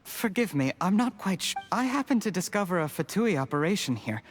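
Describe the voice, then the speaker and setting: An ambitious young schemer from a video game. His voice sits a little high for a man, and his speech is smug and formally courteous, always angling for advantage. Music plays underneath. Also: sing-song, fairly quick. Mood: feigned innocence. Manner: smug, ingratiating, formally polite. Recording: background music under the dialogue.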